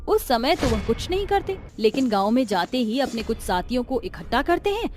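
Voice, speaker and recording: A woman's voice: on the high side, sing-song, brisk, slight background noise. A woman giving scripted storybook narration as the narrator of a tale.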